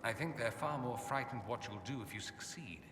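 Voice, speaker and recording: An actor playing a witty, haughty mage from a fantasy empire, speaking with suave, theatrically arch sarcasm in a video game. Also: male voice, medium pitch, brisk, distant mic, lightly acted. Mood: wry disdain. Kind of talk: video game character dialogue. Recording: echoey hall, clear background ambience noise.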